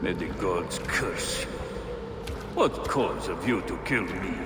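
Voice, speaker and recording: An actor playing a haughty, menacing ancient conspirator in a video game, cold and formal, in an echoing hall. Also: male voice, low voice, expressive, slow. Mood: contemptuous, defiant. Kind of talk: dramatic video game acting. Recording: echoing large hall, music behind.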